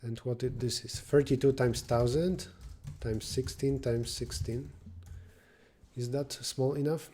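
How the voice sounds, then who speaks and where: A man's voice: mid-range voice, steady tone, unhurried, a little hiss or hum. Man thinking aloud while coding. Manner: thinks aloud, answers chat between calculations; drops to quiet muttering while working. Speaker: programming live streamer.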